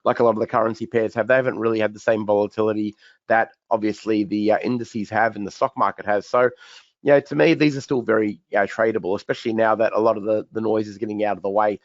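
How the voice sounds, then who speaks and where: A quick-talking man with a croaky voice hosts a live trading webinar, chatting through his charts with plenty of restarts. The audio sounds a little dull and very dry, with no room sound around him. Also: lowish voice, steady tone, no room sound. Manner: chatty, repeats words and restarts, many fillers. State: croaky, recovering voice. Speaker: trading educator hosting a webinar Q&A.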